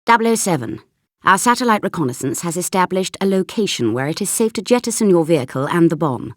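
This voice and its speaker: Stern British intelligence chief, older woman, deep voice, formal, commanding, dry studio sound, game briefing. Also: very animated, fast, no room sound. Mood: grave, commanding. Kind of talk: scripted video game mission briefing.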